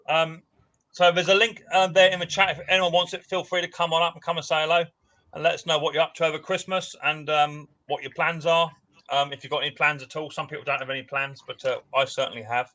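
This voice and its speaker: Guy hosting a live chat, teasing folks, voice a bit high. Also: steady tone, a little fast, bright, forceful tone. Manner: chatty, teasing, banter-heavy. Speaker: livestream host. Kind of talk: casual livestream chat.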